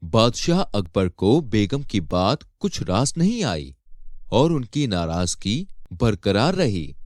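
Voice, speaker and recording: Man, storyteller, scripted moral tale, lively intonation, measured pace, dry sound. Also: fairly low pitch, no room sound.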